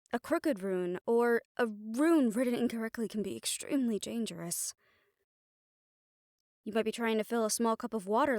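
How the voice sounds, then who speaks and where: A woman's voice: on the high side, a little mellow, no room sound, studio quality, close to an everyday voice. A woman playing a stern, exasperated young siren banshee spellcaster in a roleplay audio drama, talking conversationally and emphatically. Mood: earnest, focused. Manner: conversational, sighing, chiding, emphatic.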